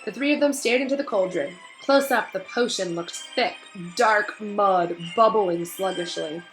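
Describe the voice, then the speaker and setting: A woman reading a children's novel aloud in expressive storybook narration. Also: medium pitch, a little slow. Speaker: storybook reader. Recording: roomy echo, faint music behind.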